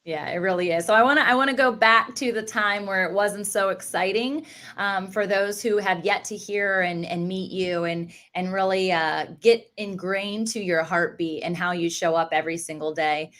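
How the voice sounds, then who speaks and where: A woman's voice: mid-range voice, fairly even intonation, moderate pace, a bit bright. An enthusiastic woman hosting a faith-based interview podcast, laughing often and restarting her phrases as she goes. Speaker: podcast host.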